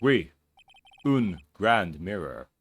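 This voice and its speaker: A man's voice: low voice, very animated, very slow, husky, heavily acted. A man doing a flamboyant French chef. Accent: put-on French. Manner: theatrical, sprinkles French words. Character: flamboyant French male head chef witness. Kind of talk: fan voice acting of a game.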